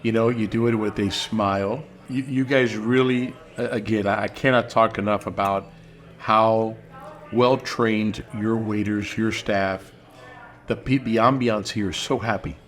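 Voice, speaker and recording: A man hosting a food show, chatting casually and warmly with his guest in an interview. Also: lowish voice, fairly even intonation. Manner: friendly, chatty, quick asides. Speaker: show host.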